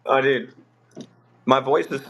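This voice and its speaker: A man hosting a casual livestream, rambling with fillers and restarts in a rough voice. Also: mid-range voice, steady tone, slow. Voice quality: rough, gravelly voice. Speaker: livestream host.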